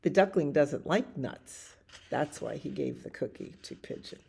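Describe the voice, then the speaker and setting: A woman with a low, creaky voice talks to young children at a bedtime story time. She takes her time, adding chatty asides and simple questions as a library storyteller. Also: lively intonation, measured pace, a little mellow.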